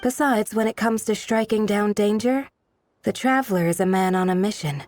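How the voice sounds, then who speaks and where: Actress as a composed, measured female guard. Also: mid-range voice, no echo, close to an everyday voice. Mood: gently chiding. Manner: measured, polite, gently chiding. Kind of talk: video game voice acting.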